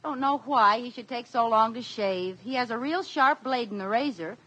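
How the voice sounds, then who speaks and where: An actress playing a chatty, meddling young wife who plays matchmaker, in 1940s radio comedy style with a giggly, coaxing delivery. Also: female voice, fairly high pitch, normal speed, a bit bright, dull audio. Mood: bubbly. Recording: noisy old radio broadcast.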